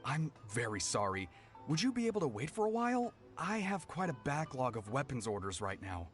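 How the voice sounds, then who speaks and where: Earnest young apprentice, a man acting, wildly expressive pitch. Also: brisk, close to an everyday voice. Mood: polite, apologetic. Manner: polite, hesitant, deferential. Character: earnest, flustered young male apprentice swordsmith. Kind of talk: anime-style video game voice acting. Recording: music behind the voice.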